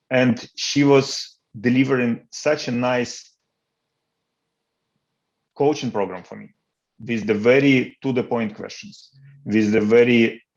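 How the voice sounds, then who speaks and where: Gravelly Ukrainian-accented man in his forties. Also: mid-range voice, lo-fi sound. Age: 40s. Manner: earnest, repeats words while searching. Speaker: interviewee, coach. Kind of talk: interview over video call.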